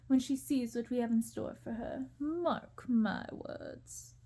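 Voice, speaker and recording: A woman's voice: on the high side, slow, warm tone. A woman voicing an eager, romantic male servant in a storybook reading.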